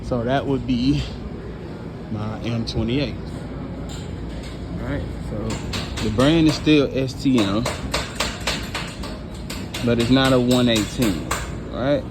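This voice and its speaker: A man's voice: mid-range voice, slow pace, pauses often, over music. A man presenting a hands-on equipment tutorial as a sound engineer, explaining informally step by step and often checking that the listener follows.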